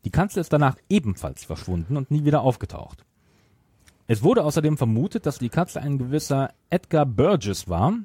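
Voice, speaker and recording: A man's voice: mid-range voice, dark tone, husky, dry recording, studio quality. A man hosting a podcast, retelling a story from notes and at times searching for words. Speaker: podcast host.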